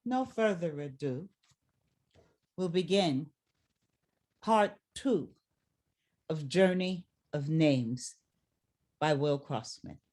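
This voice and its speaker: A woman announcing and reading stage directions for a play in a formal, measured, scripted manner. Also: low voice, expressive, darkish tone. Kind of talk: formal introduction and scripted narration.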